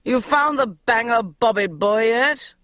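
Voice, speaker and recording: A woman voicing a brash, crude male TV boss who yells his orders. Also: on the high side, a little slow, no room sound, studio quality, pitched above the performer's own voice. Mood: bellowing. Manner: yelling, brusque and crude.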